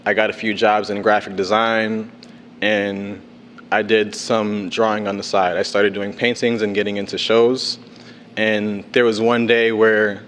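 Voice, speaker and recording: A man's voice: lowish voice, a bit bright, slight background noise. A young man from Connecticut, an artist telling his story on a panel in a loose, informal way. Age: young adult. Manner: informal, with fillers and restarts. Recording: long hall reverb.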